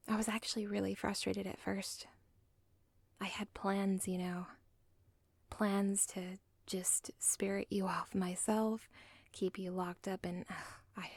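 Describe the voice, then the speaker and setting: A lovesick villain's daughter, played by a woman whispers close to the mic, rambling through asides about her own story. Her voice is gravelly and husky, and she drawls slowly. The sound is dry, with no room around it. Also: medium pitch, no echo, exaggerated voice. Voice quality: soft whisper. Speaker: audio roleplay performer. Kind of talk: ASMR audio roleplay.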